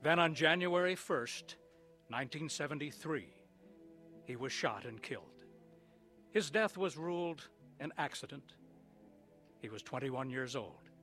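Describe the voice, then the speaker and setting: A man giving solemn, measured scripted narration in a documentary style. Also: medium pitch, lively intonation, a bit bright, soft background music.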